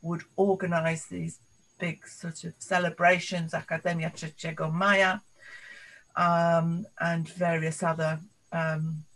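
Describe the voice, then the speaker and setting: Slow, flat-voiced older woman. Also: lowish voice, poor audio. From London, England. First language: Polish. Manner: thoughtful, halting reminiscing. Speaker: interviewee. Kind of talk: oral history recollection.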